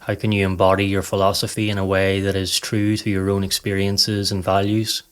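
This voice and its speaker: A man narrating a scripted guided meditation in a calm, measured, instructive way. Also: low voice. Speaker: podcast host and meditation guide.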